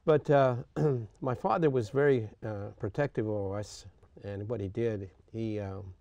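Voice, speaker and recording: An older man from Greece tells his story as an interview guest. His voice sits low and dark. He speaks haltingly, stops to restart and clears his throat, and the sound is close and dry. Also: no echo. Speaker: retired coach, guest. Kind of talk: interview, recounting childhood.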